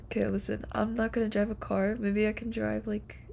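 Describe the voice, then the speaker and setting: A young woman streamer chatting softly and casually to viewers, with a whispery, giggly, playful manner. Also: mid-range voice, flat delivery, brisk, gravelly, a little hiss or hum. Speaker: live streamer. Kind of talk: soft, casual sleep-stream chat with viewers.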